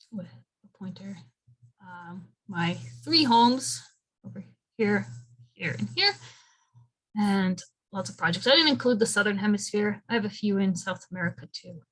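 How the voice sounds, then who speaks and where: A woman in her thirties gives a relaxed career talk over a video call. She is friendly and chatty, and she often pauses on an um. Her pitch sits in the middle for a woman. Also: rough recording. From Belgium. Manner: friendly, conversational, frequent ums. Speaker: invited speaker.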